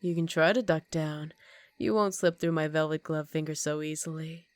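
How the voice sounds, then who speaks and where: Woman acting as a older vampire countess for asmr, lowish voice, very dry close sound, slow teasing predatory, half whispery. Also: steady tone, dry recording, lightly acted. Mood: amused, possessive. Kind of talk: ASMR roleplay.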